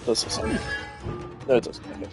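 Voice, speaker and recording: A man's voice: brisk, over music. A man streaming a video game, giving casual, opinionated commentary full of filler words.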